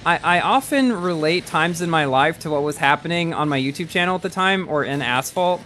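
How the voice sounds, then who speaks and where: A man's voice: a little high, lively intonation, brisk, sharp tone, a little hiss or hum. A man in his early twenties chatting casually while livestreaming a game and reading chat, rambling and often repeating words as he restarts. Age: early 20s. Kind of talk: casual live-stream chat.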